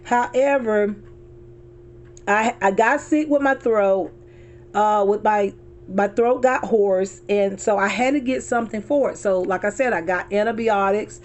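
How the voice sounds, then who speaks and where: A hoarse middle-aged woman chatting casually to her viewers from her car, calling them y'all and repeating herself as she talks. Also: mid-range voice, unhurried, darkish tone. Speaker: vlogger.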